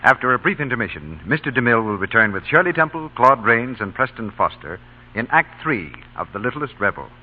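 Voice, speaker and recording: A man's voice: mid-range voice, sing-song, a little fast, slightly rough. A man announcing and reading a commercial in scripted old-time radio style. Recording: tinny old radio broadcast recording.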